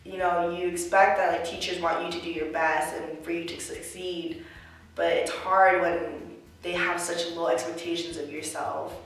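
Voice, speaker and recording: Young woman, college student, earnest and reflective, lots of "like" and "you know", flat even delivery, recorded far from the mic in a very echoey room. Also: lowish voice, moderate pace, faint music behind. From United States. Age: young adult. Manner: reflective, earnest, heavy on filler words. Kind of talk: personal interview answers.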